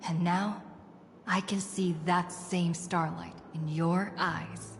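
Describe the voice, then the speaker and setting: An actress playing a calm, kind young heroine in a video game, gentle, measured and reassuring. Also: female voice, on the low side, vocal fry, slight background noise. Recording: long reverb, music behind.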